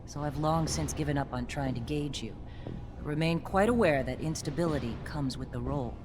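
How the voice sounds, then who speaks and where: An actor playing a wary, stern army soldier in a video game, speaking in a measured, formal manner. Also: male voice, on the high side, close to an everyday voice. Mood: guarded, distrustful. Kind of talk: video game dialogue acting. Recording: clear background noise and ambience.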